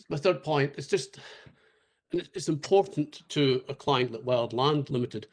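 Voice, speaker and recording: A man questioning a witness at a public inquiry in a polite, deliberate way. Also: medium pitch. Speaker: advocate cross-examining. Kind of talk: cross-examination.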